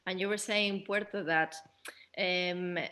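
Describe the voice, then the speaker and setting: Woman running a q&a, kinda low but really bright punchy voice, rewords stuff as she goes. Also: steady tone, slow. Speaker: panel moderator. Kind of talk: post-show Q&A discussion.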